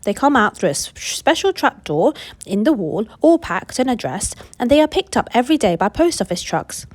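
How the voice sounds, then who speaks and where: A woman voicing an old grandfather who tells a tale in a steady, confiding way, in a storybook reading. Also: on the high side, normal speed.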